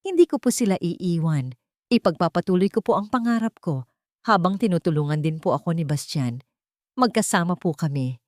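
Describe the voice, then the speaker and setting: A gentle, resolute young wife voiced by a woman storyteller speaks in a fairly low, expressive voice. It is a dry recording of a romantic audio drama. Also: moderate pace, no echo, natural voice. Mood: soft, pleading. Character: determined, soft-spoken young wife and mother.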